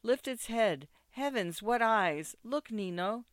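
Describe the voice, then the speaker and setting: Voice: mid-range voice, animated, a little slow, fairly bright tone, clean tone, no echo, studio quality. A narrator voicing a distraught, headstrong young wife in a storybook, exclaiming, pleading and reproaching. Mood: horrified.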